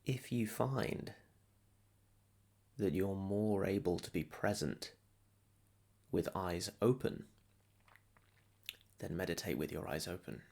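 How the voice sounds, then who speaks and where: Slow, low-voiced man guiding meditation. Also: gravelly, a little mellow. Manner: soothing, unhurried, with long pauses between short phrases. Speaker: meditation teacher. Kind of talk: guided meditation, calm instruction.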